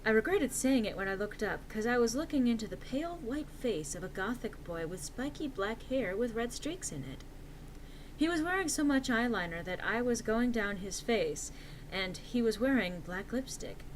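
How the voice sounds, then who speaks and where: A woman's voice: fairly high pitch, animated, moderate pace, slight background noise, low-quality recording. A woman reading a story aloud as narrator in a theatrical, mock-serious and emphatic comic style.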